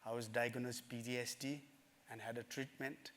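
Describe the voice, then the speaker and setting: A man's voice: mid-range voice, little pitch movement, a bit bright. Earnest man telling his story. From Nepal. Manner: earnest, halting, with frequent fillers. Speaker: speaker at a summit. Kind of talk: personal story talk. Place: conference stage.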